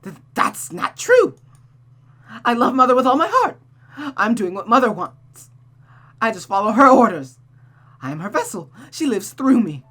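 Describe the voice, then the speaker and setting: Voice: mid-range voice, sing-song, normal speed, long pauses, bright, forceful tone, voice pushed up. A performer voicing a vengeful, unhinged young woman devoted to her mother, in intense emotional outbursts for a visual novel. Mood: desperate, distraught.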